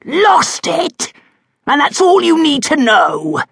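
A woman's voice: high-pitched, very animated, slow, gravelly, dry recording, clean studio sound, voice pushed up, heavily acted. A woman voicing a blustering, hot-tempered older male duke who bellows his lines in an audiobook. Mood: furious. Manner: bellowing, shouting. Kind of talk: audiobook character voice.

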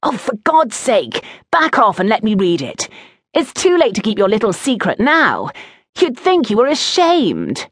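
A woman voicing a rude, mocking fifteen-year-old schoolboy in an audiobook story, loud and taunting. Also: fairly high pitch, huge pitch swings, measured pace, no echo, clean studio sound, pitched above the performer's own voice, heavily acted.

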